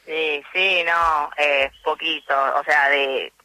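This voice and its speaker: A woman's voice: deep voice, takes it slowly, no echo, low-quality recording. A young woman athlete, talking modestly with many fillers in a radio interview by phone. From born in the USA, raised in Argentina. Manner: relaxed, filler-heavy, modest. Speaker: athlete guest. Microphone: borrowed phone.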